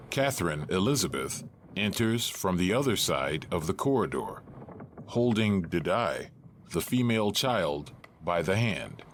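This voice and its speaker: Male narrator, very low voice, unhurried, scripted stage directions, faint background noise. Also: a bit bright.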